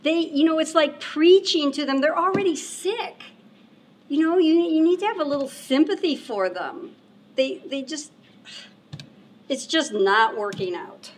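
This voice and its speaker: A woman's voice: high-pitched, animated, a little slow. A woman playing a clinic receptionist in a conversational staff training film, on an amateur recording. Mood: complaining. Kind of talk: acted workplace role-play, conversational.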